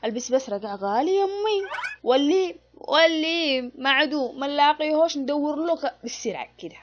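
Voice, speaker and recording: A woman's voice: sits high, expressive, fairly quick, lo-fi sound, slight background noise. A woman playing an aggrieved, hard-up Yemeni housewife in a comic cartoon, complaining and exclaiming in an Adeni accent. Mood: grumbling. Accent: Adeni Yemeni Arabic. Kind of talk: comic cartoon skit dialogue.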